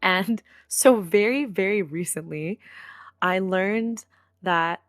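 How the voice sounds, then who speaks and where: A woman's voice: medium pitch, slow pace, dry recording, clean studio sound. A woman from Canada chatting casually on a podcast, laughing often and swearing bluntly. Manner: giggly, blunt, swears freely. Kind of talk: casual chat.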